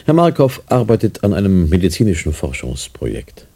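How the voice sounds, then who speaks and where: An actor playing an evasive, placating chief doctor at a clinic in a radio play, hesitant and guarded. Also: male voice, low-pitched, wildly swooping pitch, moderate pace, dark tone, husky, exaggerated voice. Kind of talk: 1990s radio drama acting.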